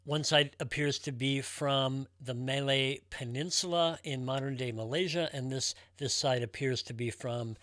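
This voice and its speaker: A man's voice: medium pitch, fairly bright tone, no room sound. A man talking casually and enthusiastically to viewers as a collector presenting his show.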